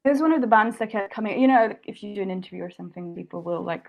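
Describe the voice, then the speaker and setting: A young woman who grew up in Sweden, speaking as a guest in a relaxed interview. Her voice sits at an ordinary middle pitch, and she talks in loose, chatty runs, doubling back and restarting her sentences as she goes. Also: rapid-fire, vocal fry, phone-quality sound. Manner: chatty, many likes and restarts. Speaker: singer-songwriter guest. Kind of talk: casual interview chat.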